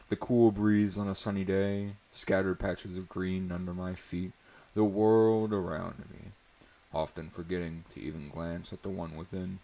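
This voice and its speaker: A man performs a dramatic reading of a story in a very low voice with heavy creak. He delivers the first-person prose deliberately and emphatically, with a mock-serious, theatrical air. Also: a little mellow, low-quality recording. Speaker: reader performing a book chapter.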